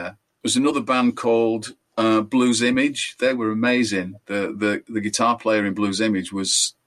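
Older man, high voice, chatty, rambling anecdotes, self-correcting. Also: fairly bright tone. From England. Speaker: musician guest. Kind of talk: relaxed storytelling interview.

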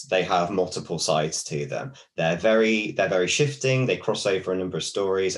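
A man co-hosting a podcast, chatting casually and explaining folklore with many fillers and restarts. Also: sits low. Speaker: podcast co-host.

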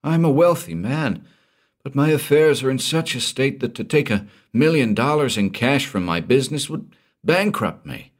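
A man's voice: mid-range voice, animated, normal speed, voice pushed up, close to an everyday voice. A man voicing a panicked, wealthy middle-aged man who pleads hysterically for help.

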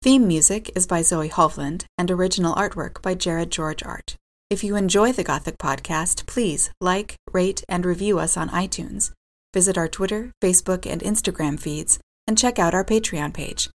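A woman reading the show's closing credits in an even, scripted delivery. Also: fairly low pitch, normal speed. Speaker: announcer.

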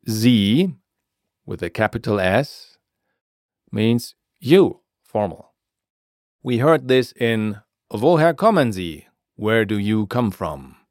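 Male podcast teacher for scripted lessons: slow, deliberate delivery, lively rise and fall in a lowish voice. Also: no room sound, clean studio sound. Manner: clear, deliberate, repeats phrases for learners. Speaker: language teacher and podcast host.

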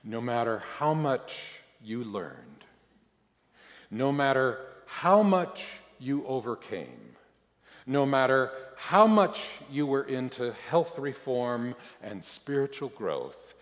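A man voicing God as an amused, patient Lord who teaches in a deliberate, pointed way, in a reverberant hall. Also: medium pitch, sing-song. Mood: serious, pointed. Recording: echoey hall, long reverb.

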